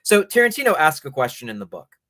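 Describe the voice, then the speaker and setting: A man hosting a culture show, giving opinionated, explanatory film commentary in a measured, bookish way and reading passages aloud. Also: fairly high pitch, big pitch swings, fairly bright tone, no echo, clean studio sound.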